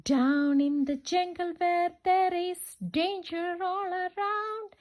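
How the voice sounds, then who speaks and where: A woman's voice: very high-pitched, fairly even intonation, slow, highs cut off. A woman doing an interactive, sing-song read-aloud of a children's picture book, with repetitive, questioning delivery for young children. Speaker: children's storytime reader.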